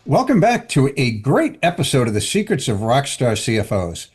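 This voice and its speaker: A man's voice: mid-range voice, wildly swooping pitch, moderate pace, a little mellow, husky, faint music behind. A man hosting an interview podcast for finance executives, talking in a chatty way that often stumbles and restarts. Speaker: podcast host.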